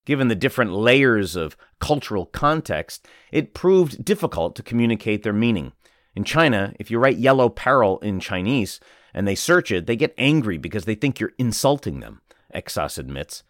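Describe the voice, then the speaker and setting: A man narrating a magazine article aloud as a reader, in an even, measured scripted narration. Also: on the low side, dry recording, studio quality.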